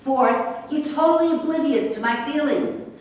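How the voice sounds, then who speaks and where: A woman in a staged play reading, acting a bitter, sharp-tongued racehorse trainer who is hurt, sarcastic and confrontational. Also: sits high, normal speed, close to an everyday voice. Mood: angry, scornful. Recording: very echoey room, distant mic, faint noise.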